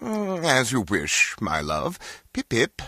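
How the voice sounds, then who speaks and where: A man's voice: medium pitch, wildly swooping pitch, slow pace, sharp tone, no echo, clean studio sound, exaggerated voice. A man playing a jovial, lecherous aristocrat in a video game, blustering and flirtatious in an upper-class British accent. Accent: upper-class British English. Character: pompous, lecherous aristocratic English baron, jovial big-game hunter. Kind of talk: scripted video game voice acting.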